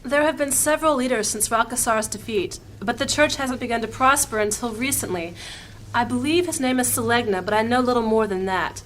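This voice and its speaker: Knowledgeable female adviser, played by an actress, grave and measured, slightly high voice, fantasy audio drama. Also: lightly acted. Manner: measured, serious explaining. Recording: faint background noise.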